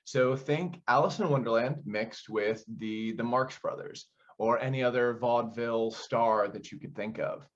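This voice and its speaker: A man's voice: mid-range voice, moderate pace, few pauses, lo-fi sound. A man giving conversational, explanatory interview answers as a theater director, often posing questions as he goes.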